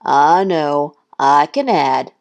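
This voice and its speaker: A woman's voice: sits low, lively intonation, slow, darkish tone, lo-fi sound, exaggerated voice. A woman voicing a reluctant, glum goose in a children's storybook, mumbling and groaning the lines. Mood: unimpressed.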